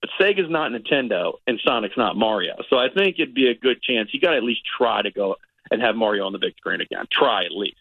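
A man's voice: fairly low pitch, expressive, fast, gravelly, dry recording, narrow, tinny audio. A middle-aged man chatting casually and in a rambling way as a film critic on a radio show, often repeating himself for emphasis. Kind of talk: casual movie review chat.

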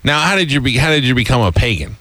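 A man's voice: medium pitch, expressive, fast talker, pushed and bright, a little hiss or hum. An American man hosting a radio interview in casual, joking chat, with a blunt, teasing and skeptical manner.

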